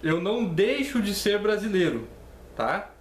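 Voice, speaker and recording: A man's voice: high-pitched, sing-song, a little slow. A young Brazilian man in his twenties with a Brazilian Portuguese accent, speaking in a slightly raised register. He explains legal rules conversationally and reads them out while often checking in with his listeners. The recording is roomy and echoey, with a faint hum underneath. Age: 20s. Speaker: channel host explaining citizenship law. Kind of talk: casual explainer reading legal text. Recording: echoey room with faint ambient noise.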